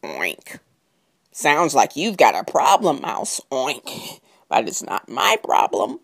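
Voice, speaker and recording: Lady putting on a grunty storybook pig, low voice, oinks, couldn't care less. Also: wildly swooping pitch, slow pace, creaky, slightly rough, exaggerated voice. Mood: dismissive. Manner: oinks between short dismissive phrases. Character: indifferent grunting barnyard pig, curtly dismissive. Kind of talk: children's storytelling.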